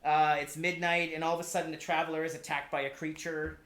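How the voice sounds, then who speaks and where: A man chatting casually on a podcast as a guest, explaining things in a halting, rambling way with repeated words. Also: on the high side, level tone, a bit bright. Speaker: podcast guest pitching a film adaptation. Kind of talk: casual chat. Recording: echoey room.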